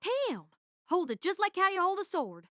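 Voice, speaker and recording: A woman's voice: extremely high pitch, huge pitch swings, moderate pace, dry recording, studio quality, heavily acted. A woman playing an eager, friendly young male engineering student, chatty and earnest, in an audio drama. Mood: enthusiastic, friendly.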